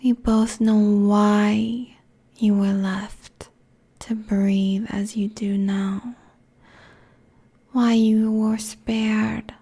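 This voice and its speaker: Woman as a slow, whispering seductive demon queen. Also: medium pitch, flat delivery, mellow, exaggerated voice. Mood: haughty, seductive. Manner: breathy, slow, condescending whispering. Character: seductive, cruel, haughty succubus demon queen. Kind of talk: intimate ASMR roleplay monologue. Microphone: close binaural microphone.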